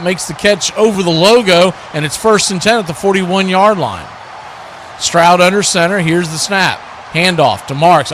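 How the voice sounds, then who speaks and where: A man does live football play-by-play. His voice sits high and has a bright, forceful edge. Faint noise runs behind him. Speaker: play-by-play sports commentator.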